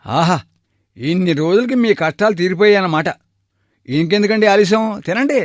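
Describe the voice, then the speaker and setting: A man's voice: high voice, expressive, moderate pace, dry recording, clean studio sound. A man playing a deferential but plain-spoken shop servant in a cartoon moral story. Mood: cheerful. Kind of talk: animated moral story dialogue.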